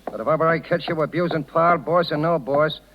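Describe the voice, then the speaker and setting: An actor playing a plain-spoken, protective circus worker in a 1930s radio drama, with blunt, slangy working-class talk. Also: male voice, a little high, steady tone, a little fast, noisy background, natural voice. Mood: defiant. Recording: dull 1930s radio recording.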